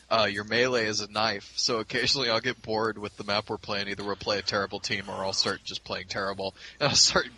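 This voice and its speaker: Casual podcast co-host, bright voice, rambling delivery, faint room noise. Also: male voice, fairly low pitch, rough recording. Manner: rambling, laughs often, halting restarts. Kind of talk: casual fan chat.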